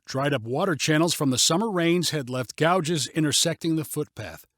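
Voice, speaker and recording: A man's voice: on the high side, normal speed, fairly bright tone, no echo, clean studio sound. A man narrating a story in a scripted audiobook style.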